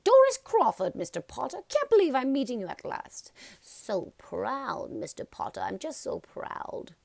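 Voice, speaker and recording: A woman's voice: high-pitched, wildly swooping pitch, a little slow, vocal fry, dry recording, voice pushed up, heavily acted. A woman voicing a gushing, flustered female admirer in a storybook. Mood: adoring, flustered. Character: fluttery, adoring woman fan in a pub.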